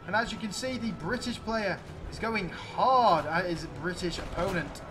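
High-pitched man commentating a game battle. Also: normal speed, music in the background. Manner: exclamatory, addressing viewers. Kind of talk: excited play-by-play game commentary.

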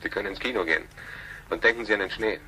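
An actor playing an officious, easily exasperated radio department boss in a German radio drama. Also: male voice, on the low side, rapid-fire, pushed and bright, slight background noise, low-quality recording. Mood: fussy, exasperated.

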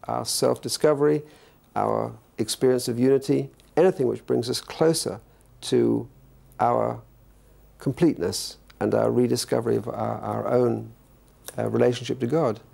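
A man's voice: medium pitch, steady tone, moderate pace, creaky, dark tone. An older man, a professor, answering an interview question thoughtfully in a book-lined study, searching for his words as he goes. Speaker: professor interviewed about a cathedral. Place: indoor study lined with bookshelves.

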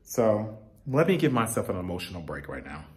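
A man's voice: lowish voice, big pitch swings, brisk, breathy edge. Male vlogger in his 30s with a congested, nasal voice, chatty and emotional, filming on a handheld phone at home. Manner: chatty, emotional, addresses viewers as y'all. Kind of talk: casual home-tour vlog. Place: house being packed up, indoors. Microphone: handheld phone camera.

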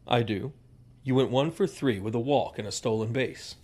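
Man playing an old male retainer, husky, formal, proud, scolding, western audio drama. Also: mid-range voice, moderate pace, a little mellow, close to an everyday voice. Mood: stern, probing. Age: older. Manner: formal, wordy, indignant.

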